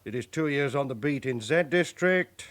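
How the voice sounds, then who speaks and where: A man acting a stern, brisk chief inspector in a British radio drama, authoritative and clipped in his delivery. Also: medium pitch, a bit bright, lightly acted. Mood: brisk, commanding. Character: stern, brisk male police chief inspector, dryly commanding.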